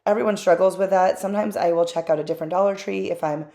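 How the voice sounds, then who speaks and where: A woman's voice: fairly low pitch, fairly even intonation, fast talker, vocal fry, mellow. A woman hosting her own craft channel, narrating a voice-over in a chatty, candid way with plenty of fillers and asides.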